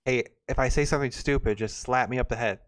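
A man in his twenties hosts a livestream in a smooth, clean voice of middling pitch. He talks casually and likes to tease, keeping things informal and conversational. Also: quick pace. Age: 20s. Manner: teasing, informal. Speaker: show host.

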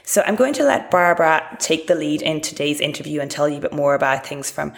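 A woman's voice: quick pace, gravelly. A woman hosting a fitness podcast and chatting encouragingly with her guest. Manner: chatty, encouraging, rises in pitch when addressing guest. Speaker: podcast host and fitness coach. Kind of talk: podcast interview.

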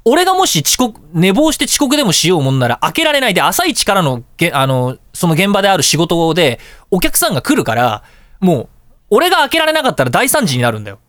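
A man hosting a radio show, chatting casually and telling anecdotes in an animated way, with exclamations and sound-effect words. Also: high-pitched, fast, dry recording, clean studio sound.

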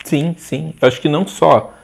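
A man in his forties, a guest in a casual interview, talking in a rambling, animated way with frequent restarts. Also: fairly high pitch, normal speed, creaky, breathy edge. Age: 40s. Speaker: interview guest, social media page owner.

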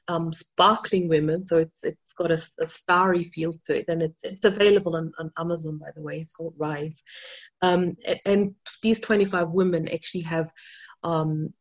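Brief: low-voiced non-native woman from Austria, keen interview guest, muffled lo-fi recording. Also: steady tone, normal speed. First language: not English. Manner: enthusiastic storytelling with many restarts and fillers. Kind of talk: casual interview talk.

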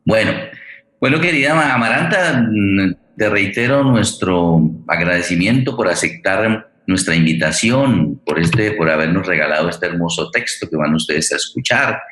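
A man with an extremely low voice hosts a radio programme. He talks in long, formal, courteous sentences, often pausing and restarting as he searches for the next phrase. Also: expressive, a bit bright, low-quality recording. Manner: halting, wordy, formal and courteous. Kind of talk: unscripted, welcoming introduction of a guest and play.

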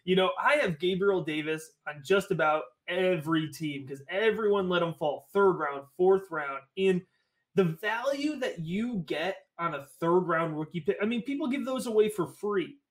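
Man, from Connecticut, podcast guest, eager and rambling, slightly high voice. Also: lively intonation, moderate pace. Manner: enthusiastic, rambling, repeats phrases. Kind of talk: casual podcast chat about fantasy football.

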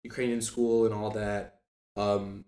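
A man in his early twenties speaks casually as an interview guest. His voice is fairly low and keeps to a very even pitch. He is chatty and conversational, recorded in an echoey room. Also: measured pace. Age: early 20s. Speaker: guest, former student club officer. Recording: echoey room with faint background noise.

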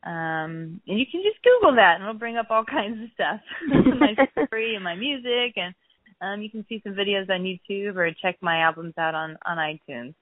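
A hoarse woman chats easily as a podcast guest, laughing often between her points. Her voice comes through a narrow, phone-like line. The sound is dry and close. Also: mid-range voice, lively intonation, normal speed, no room sound. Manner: giggly, says she is losing her voice. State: hoarse, clearing throat. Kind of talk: casual interview chat.

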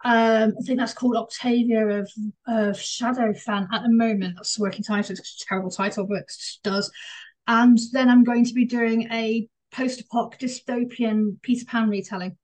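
A woman's voice: fairly high pitch, fairly even intonation, normal speed, a little mellow, low-quality recording. A woman co-hosting a podcast, talking enthusiastically and rambling about her writing plans. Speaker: co-host and author. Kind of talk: casual interview chat.

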